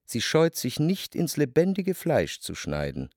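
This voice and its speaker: A wry reflective narrator, book-like radio play, really varied melody in the voice, articulate. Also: male voice, fairly high pitch, huge pitch swings, a little mellow, dry recording, clean studio sound, exaggerated voice. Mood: wry, observant. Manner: measured, articulate. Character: literary male narrator, reflective, wryly observant.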